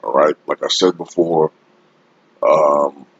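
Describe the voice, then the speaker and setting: A man with a deep voice giving an emphatic, informal opinion rant to his viewers as a sports YouTuber, recorded on a desktop USB microphone. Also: fairly even intonation, breathy edge, no room sound, dull audio. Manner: emphatic, informal, drawn-out delivery with frequent rhetorical tags. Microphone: Blue Snowball-type desktop USB microphone.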